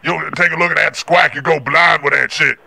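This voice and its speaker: A man's voice: a little high, steady tone, a little fast, gravelly. A man putting on a blustering, slangy prank caller who repeats threats and trash talk over and over. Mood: boastful. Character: blustering jive-talking male prank caller. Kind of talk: prank phone call.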